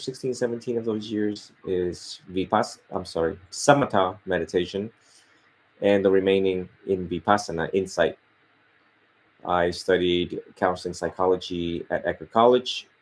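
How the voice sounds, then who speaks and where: Low-voiced calm male monk in his thirties. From Vietnam. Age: 30s. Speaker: Buddhist monk and podcast guest. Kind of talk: casual interview, life story.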